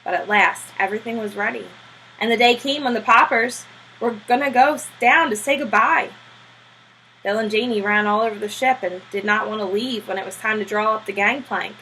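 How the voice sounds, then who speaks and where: A woman reading a children's novel aloud as a storybook narrator, with a husky voice. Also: medium pitch, brisk, pushed and bright, a little hiss or hum, poor audio. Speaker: storybook reader.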